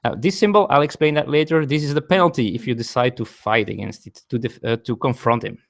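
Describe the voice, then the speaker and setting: A man's voice: mid-range voice, fast, creaky. A man streaming a board game live from home, explaining the rules step by step and chatting with viewers, often correcting himself, with a non-native English accent.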